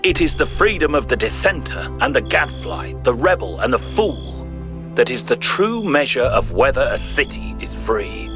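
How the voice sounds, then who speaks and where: An actor playing a thoughtful, principled ancient Greek philosopher in a video game, giving a grave, measured, rhetorical monologue. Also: male voice, mid-range voice, very animated, lightly acted. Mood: earnest, rhetorical. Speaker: narrator of philosophical audio logs. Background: music.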